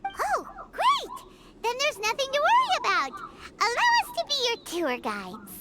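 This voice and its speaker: Squeaky lil fairy sidekick, super high and bouncy all over the place, game music loud behind. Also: female voice, wildly swooping pitch, a little slow, heavily acted. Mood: excited. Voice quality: squeaky, sing-song, third-person self-reference. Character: tiny floating fairy companion, bubbly and excitable. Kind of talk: video game voice acting.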